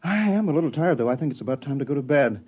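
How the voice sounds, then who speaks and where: An actor playing a brazen, glib shapeshifting con artist in a 1950s radio drama. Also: male voice, medium pitch, lively intonation, racing, breathy edge. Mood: glib, presumptuous. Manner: theatrical, glib, nonchalant. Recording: dull 1950s radio recording.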